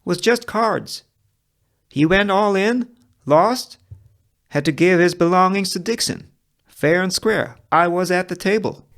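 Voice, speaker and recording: A man's voice: sits high, a little slow, pauses often, fairly bright tone, pitched above the performer's own voice. A man playing a shifty, whiny Wild West accuser with an agitated, pleading manner. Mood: accusing.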